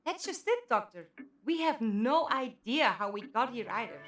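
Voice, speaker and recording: A woman playing a composed, cautious leader of captives, measured and authoritative, in an audio drama. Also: a little high, sing-song, fairly bright tone, soft background music. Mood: frank. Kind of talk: fan audio drama acting.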